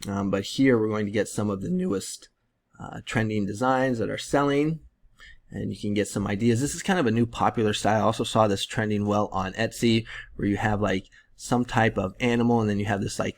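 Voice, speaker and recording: Man in his thirties, low voice, gravelly fry, losing his voice, loose rambling talk full of ums, webcam at home. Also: a little fast. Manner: relaxed, rambling, many fillers. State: losing his voice, clearing his throat. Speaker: print-on-demand seller and tutorial creator. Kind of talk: casual screen-share tutorial.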